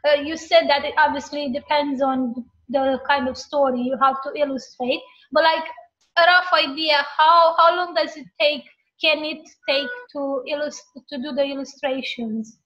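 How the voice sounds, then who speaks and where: A woman hosts an interview and asks rambling questions in a chatty, easy way. Her voice sits high. The audio is muffled and lo-fi. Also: fairly even intonation, normal speed, fairly bright tone. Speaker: interview host. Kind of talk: casual interview chat.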